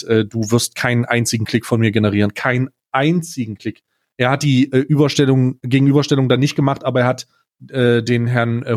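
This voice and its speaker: A young man co-hosting a podcast, giving blunt, casual opinions in slangy words with halting starts. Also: medium pitch, a little mellow. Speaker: podcast co-host.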